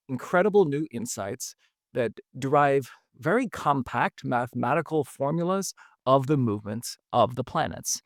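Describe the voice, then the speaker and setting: A man hosting a podcast, telling a history story in a relaxed, conversational way, with frequent fillers. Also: mid-range voice, creaky, dry recording, clean studio sound. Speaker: podcast host. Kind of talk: casual storytelling narration.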